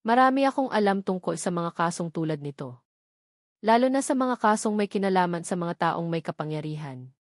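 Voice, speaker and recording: A woman's voice: lowish voice, animated, moderate pace, dry recording, clean studio sound, natural voice. A woman voicing an insinuating male attorney in an audio drama, sympathetic and confiding.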